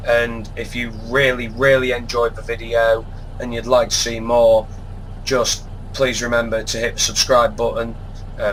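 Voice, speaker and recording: A man's voice: mid-range voice, low-quality recording. A man with a congested voice and a sore throat, giving easygoing, laid-back commentary while he plays a game. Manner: unhurried, easygoing, appreciative. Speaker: gaming channel host. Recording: steady truck and air-conditioning hum behind. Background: game engine and cab noise.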